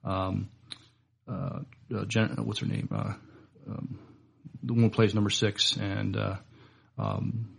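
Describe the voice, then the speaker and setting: A man co-hosting a casual podcast, speaking in a halting, hesitant way with many fillers and pauses. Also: fairly low pitch, level tone, creaky, slightly rough. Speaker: podcast co-host.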